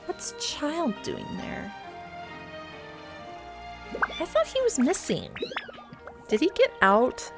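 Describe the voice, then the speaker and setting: Slow, expressive woman gamer. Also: high-pitched. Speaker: gaming video host. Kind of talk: casual reaction commentary over gameplay. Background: game music.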